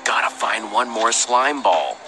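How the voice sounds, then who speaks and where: Earnest young game hero, casual, very expressive pitch, music behind. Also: male voice, unhurried. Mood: thinking aloud. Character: earnest, pleading young man, scrappy adventure hero. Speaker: game protagonist. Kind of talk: animated game dialogue.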